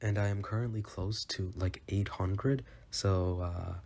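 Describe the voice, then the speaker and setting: Man, lowish voice, scripted video essay narration, opinionated, informal phrasing. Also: steady tone, unhurried, a little mellow.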